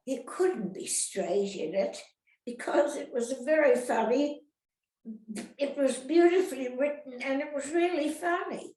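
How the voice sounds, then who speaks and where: An older woman, an actress reminiscing casually in an interview, rambling and halting, often turning questions on her listeners. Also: high voice, dark tone. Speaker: retired actress being interviewed. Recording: roomy, echoey sound.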